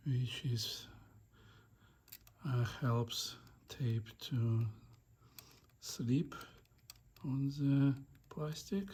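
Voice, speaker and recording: A man giving a casual hands-on demonstration, murmuring halting step-by-step remarks as he works, recorded on a phone. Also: medium pitch, mellow, slight background noise. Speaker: hobbyist demonstrating a tape deck repair. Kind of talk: casual hands-on repair demonstration, talking while working. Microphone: phone held in front of him.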